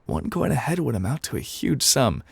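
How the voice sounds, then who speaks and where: A man voicing a gruff, indifferent game character who reads a bedtime story in an offhand ASMR narration style with dramatic pauses. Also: mid-range voice, very animated, dry recording, exaggerated voice. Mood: offhand, unhurried.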